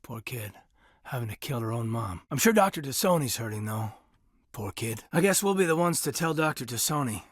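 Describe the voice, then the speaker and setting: Guy acting husky earnest army officer in a space game, thoughtful n respectful, pitch moves around, very dry sound. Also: expressive, dry recording, natural voice. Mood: somber, sympathetic. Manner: sincere, measured, respectful. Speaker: video game character, soldier. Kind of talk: video game voice acting, conversational dialogue.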